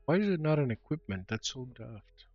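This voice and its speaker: A man's voice: mid-range voice, sing-song, normal speed, dry recording, dull audio, soft background music. A man speaking as game master, casually talking through rules during an online tabletop game. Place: online voice call.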